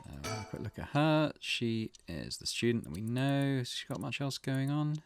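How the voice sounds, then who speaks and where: A man's voice: mid-range voice, lively intonation, moderate pace, clear, resonant tone, faint music behind. A man doing let's play commentary, reading aloud the text of a visual novel as he plays. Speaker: gaming video creator playing and reading out a visual novel.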